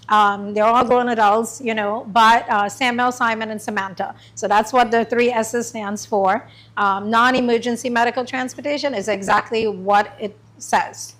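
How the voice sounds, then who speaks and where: A middle-aged woman from Trinidad and Tobago giving a chatty, informal talk about her business to a small group, with many pauses and ums. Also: fairly high pitch, fairly bright tone. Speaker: small business owner presenting. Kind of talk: informal pitch presentation. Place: meeting room.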